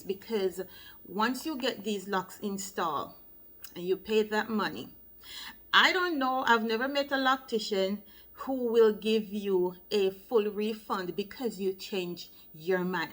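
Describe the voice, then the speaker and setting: A woman's voice: medium pitch, a bit bright. A woman with a Jamaican accent, a loctician talking casually to camera and explaining things step by step, often checking in with "okay?". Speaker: loctician and channel host. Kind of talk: casual advice vlog to camera.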